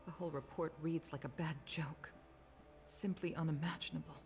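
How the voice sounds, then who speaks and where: A woman voicing a grave, dignified middle-aged commission leader in a video game, speaking in a formal, measured way. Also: deep voice, steady tone, vocal fry, darkish tone, slight background noise. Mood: grieving disbelief.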